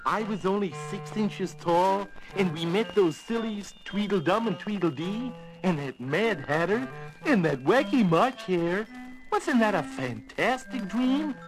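A man's voice: sits high, expressive, unhurried, rough recording. An earnest, polite little girl from a children's storybook, acted by a man. The voice is light and raised, curious in tone, over background music. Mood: wistful, amazed.

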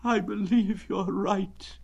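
A man voicing a gloomy old grey male donkey in a storybook reading, talking slowly and mournfully. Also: high-pitched, very animated, gravelly, voice pushed up, full character voice. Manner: slow, sad and mournful.

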